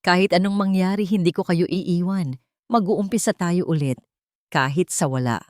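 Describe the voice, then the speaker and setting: A woman's voice: fairly low pitch, normal speed, darkish tone, no room sound, studio quality, lightly acted. A woman voicing a devoted, sorrowful grown son in an audio story. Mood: tender, resolute. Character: devoted, sorrowful adult son comforting his mother.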